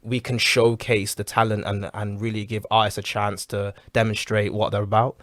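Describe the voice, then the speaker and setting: A young man hosting a casual interview, animated and repeating himself, swearing now and then. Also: lowish voice, normal speed. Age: young adult. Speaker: podcast host.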